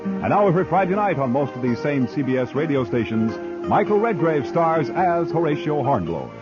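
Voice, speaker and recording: American radio announcer man, lively swooping delivery. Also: a little high, a little fast. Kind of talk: 1950s radio announcing, scripted credits and promos. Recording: muffled 1950s radio recording, music behind.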